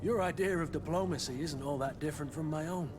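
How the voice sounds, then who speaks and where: A man's voice: on the high side, fast, darkish tone, faint music behind, close to an everyday voice. A man playing a blunt, wry mercenary captain in a fantasy video game, with a dry way of talking. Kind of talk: scripted video game acting.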